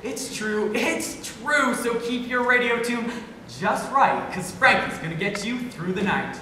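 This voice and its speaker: A woman's voice: fairly high pitch, lively intonation, measured pace, pushed and bright. A woman playing a chatty, playful, lonely late-night radio host in an amateur stage play, declaiming rhythmic patter. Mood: playful, theatrical. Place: stage in a hall, recorded from afar. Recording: echoey hall, distant mic, noisy.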